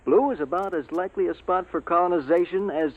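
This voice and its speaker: A man playing an efficient junior space officer in a 1950s radio drama, reporting in a crisp, matter-of-fact, deferential way. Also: sits high, fast talker, narrow, tinny audio. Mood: upbeat. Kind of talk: 1950s science fiction radio drama acting. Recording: thin, band-limited old radio recording.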